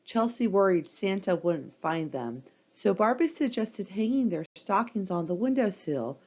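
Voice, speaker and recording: A woman narrating a scripted children's storybook in an expressive, dramatic read-aloud style for young listeners. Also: mid-range voice, measured pace, lo-fi sound.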